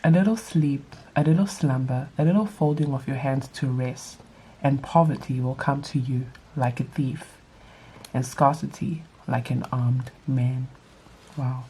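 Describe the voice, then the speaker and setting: A young woman with a nasal, allergy-stuffed voice, reading scripture aloud and adding quick casual asides in a Bible study vlog. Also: rumbling low, dark tone, a little hiss or hum, low-quality recording. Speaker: vlogger leading a Bible study.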